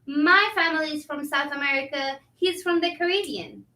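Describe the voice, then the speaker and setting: In a roomy, distant recording, an actress plays an indignant female restaurant worker in a stage play. Her voice is high and bright, and she argues firmly and confrontationally. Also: moderate pace. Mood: defensive. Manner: firm, confrontational. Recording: roomy echo, distant microphone.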